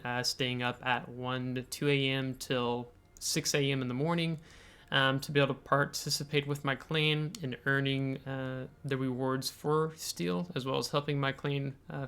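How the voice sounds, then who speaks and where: An American man hosting a video game tutorial, explaining things step by step in a halting way with frequent filler pauses. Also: medium pitch, fairly bright tone, clear, resonant tone. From United States. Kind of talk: explanatory game tutorial, semi-scripted screen walkthrough.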